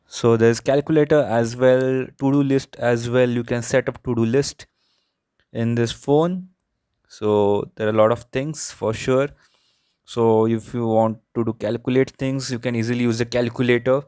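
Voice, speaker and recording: A man's voice: mid-range voice, fairly even intonation, moderate pace, mellow, clean tone, no echo, clean studio sound. A man with an Indian accent narrating an unscripted product review in a repetitive, explanatory way. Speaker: tech reviewer.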